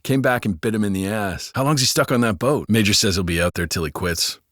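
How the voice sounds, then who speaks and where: A man's voice: on the low side, fairly quick, fairly bright tone, no room sound, studio quality. A man voicing a gossiping, foul-mouthed American cop in a video game, talking in a colloquial, sardonic way. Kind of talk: casual scripted banter for a video game.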